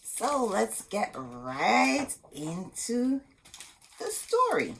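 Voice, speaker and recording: A woman's voice: a little high, big pitch swings, drawn-out words, slight background noise. A woman hosting a children's storytime, reading a storybook expressively and talking directly to young listeners. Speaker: children's storytime host and reader. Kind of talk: children's storybook read-aloud with asides.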